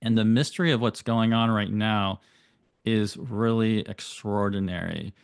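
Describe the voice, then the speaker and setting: A man speaking as an astrologer guest on a podcast, giving thoughtful, flowing explanations with occasional rapid bursts. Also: fairly low pitch, vocal fry, clear, resonant tone, no echo, studio quality. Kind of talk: explanatory interview talk.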